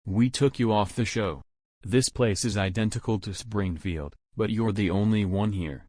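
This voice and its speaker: Male reader as dismissive executive, lowish, matter-of-fact letter narration, very dry sound. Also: moderate pace, darkish tone, no echo, close to an everyday voice. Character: breezy studio executive reading out dismissive letter. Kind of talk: letter narration in a fan dub.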